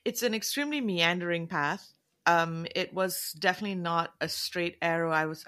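A middle-aged woman from Malaysia, a career coach who is a guest in an interview, talking in an expressive, warm way and laughing often. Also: fairly low pitch. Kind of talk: casual interview conversation.

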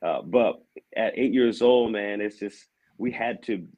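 Deep-voiced easygoing man. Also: a little slow, highs cut off. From Aberdeen, Maryland. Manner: easygoing, laughs often, says "man" a lot. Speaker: guest, vocal coach and assistant pastor. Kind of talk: casual podcast chat.

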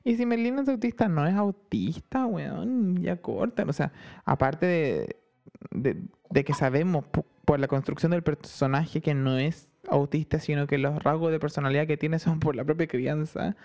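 A man's voice: fairly high pitch, creaky. A man with a Chilean accent co-hosting a podcast in casual, slangy chat, full of restarts. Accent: Chilean Spanish. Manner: slangy, animated, halting restarts. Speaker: podcast co-host.

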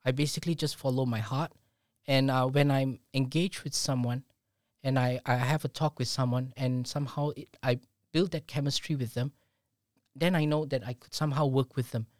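A man's voice: medium pitch, steady tone, moderate pace, darkish tone, no echo, studio quality. A middle-aged man speaking earnestly as a guest in a casual interview, often repeating words as he starts sentences. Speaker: business owner guest.

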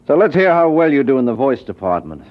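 An actor playing a tough, unflappable private detective with a dry, wisecracking, slangy way of talking in an old-time radio drama. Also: male voice, mid-range voice, big pitch swings, fast talker, natural voice. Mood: menacing, sardonic. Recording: dull, lo-fi old radio recording.

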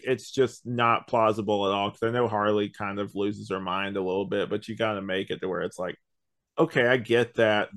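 A man chatting casually as a podcast host, hedging and thinking out loud as he reviews. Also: lowish voice.

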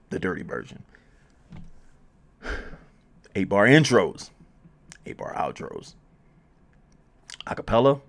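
A man presenting music promotion advice in a casual, conversational way, informally repeating phrases for emphasis. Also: mid-range voice.

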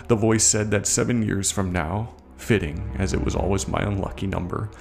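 A man playing a bitter, haunted military veteran in a gravelly, confiding horror story monologue, profane and speaking straight to the listener. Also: on the low side, fairly even intonation, moderate pace, darkish tone. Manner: conversational, confiding, addresses the listener directly. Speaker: narrator of a horror short story. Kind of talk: scripted first-person horror monologue. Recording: voice over a music soundtrack.

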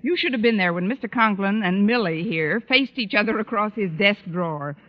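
An actress playing a quick-witted high school teacher in an old-time radio comedy, delivering dry, sardonic wisecracks. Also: female voice, expressive, natural voice. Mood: wry. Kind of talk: 1940s-50s radio comedy acting. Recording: muffled old radio recording.

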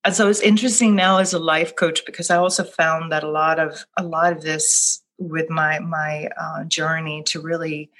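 A woman's voice: low-pitched, flat delivery, normal speed, few pauses. A middle-aged woman from Sweden, a life coach whose first language is Swedish, talking thoughtfully about her path in an interview with many restarts. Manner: soft-spoken, thoughtful, with frequent restarts. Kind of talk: reflective interview answer.

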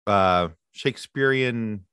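A man's voice: on the low side, takes it slowly, fairly bright tone, smooth, dry recording, clean studio sound. A man chatting as a podcast guest, easygoing, sometimes searching for words. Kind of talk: casual chat.

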